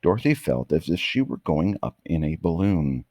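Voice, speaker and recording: A man with a gravelly, husky voice reading a children's bedtime story aloud in an expressive, dramatic manner. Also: sits low, normal speed, no room sound, clean studio sound.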